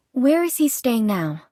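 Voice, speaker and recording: A woman playing a reserved, watchful young investigator in a video game, speaking in a deliberate, guarded way. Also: fairly high pitch, big pitch swings, mellow, dry recording, full character voice. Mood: inquiring, guarded. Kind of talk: scripted video game dialogue and monologue. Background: music.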